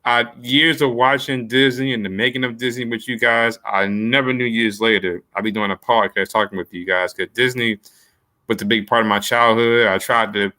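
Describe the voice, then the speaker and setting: A male podcast host with a bright, forceful voice at an average male pitch. He chats casually with his guest in a folksy, informal and appreciative manner. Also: steady tone, moderate pace. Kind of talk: casual interview chat.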